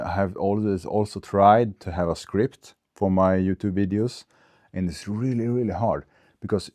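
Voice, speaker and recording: A Swedish man in his forties, speaking English as a second language, thinking aloud in an unscripted vlog with many pauses, fillers and restarts. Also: low-pitched, mellow, no room sound, studio quality. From Sweden. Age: 40s. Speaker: vlogger. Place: recorded on a phone camera. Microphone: small clip-on wireless mic.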